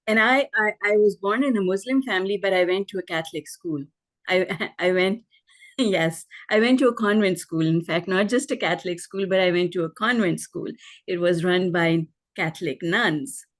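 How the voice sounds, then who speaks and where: A middle-aged woman from Pakistan, a guest on a podcast, telling her life story in relaxed conversation and laughing often. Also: lowish voice, normal speed. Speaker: guest, life coach and author.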